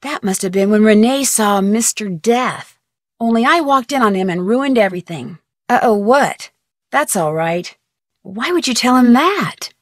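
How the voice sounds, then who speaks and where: A woman's voice: a little high, animated, dry recording. A plucky girl detective. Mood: wry. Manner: brisk, self-talking, wry. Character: young woman detective, curious and plucky. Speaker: game protagonist. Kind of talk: video game voice acting. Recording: dry studio voice-over.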